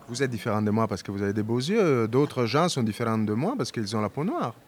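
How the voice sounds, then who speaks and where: A man working as a live interpreter, rendering a speaker's words in the first person in a measured, slightly hesitant way. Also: medium pitch, sing-song, husky.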